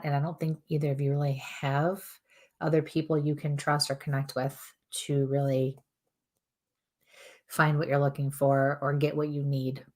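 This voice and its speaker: Low-voiced woman with a strained throat. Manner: calm, earnest, relays messages in repeated "I feel like" phrasing. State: strained throat, losing her voice. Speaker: psychic medium and co-host. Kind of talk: live chat-room spirit readings.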